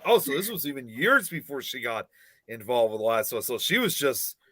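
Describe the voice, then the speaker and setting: A man's voice: medium pitch, very animated, fairly quick, fairly bright tone, no echo. A man co-hosting a casual podcast, chatty and fond of sharing trivia. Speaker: podcast co-host.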